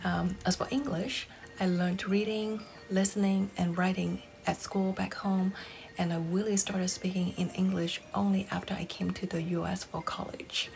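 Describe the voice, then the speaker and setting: Woman in her 40s, native Cantonese speaker, gravelly voice, music playing behind, scripted vlog. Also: mid-range voice, flat delivery, moderate pace, darkish tone. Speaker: vlogger.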